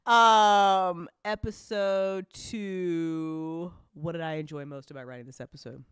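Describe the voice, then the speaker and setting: A woman with a clean, smooth voice chats informally as a host, recorded close and very dry. Her talk rambles along in a loose, giggly way, with the easy language of a casual hangout. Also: lowish voice, steady tone, slow pace, no echo. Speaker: audio drama creator hosting a live after-show chat. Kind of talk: casual chat.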